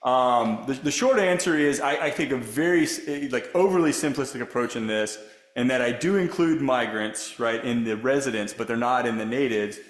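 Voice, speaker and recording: A man's voice: mid-range voice, normal speed. A middle-aged man lecturing at a workshop answers audience questions, rambling and restarting his sentences often. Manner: rambling, self-correcting, many restarts. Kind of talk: academic Q&A answers. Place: lecture room. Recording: echoey room.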